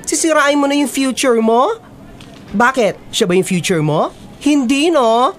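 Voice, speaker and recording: A woman's voice: high-pitched, expressive, unhurried. A young woman playing a concerned, scolding best friend in a Filipino radio drama, emphatic and exasperated. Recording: faint crowd chatter behind.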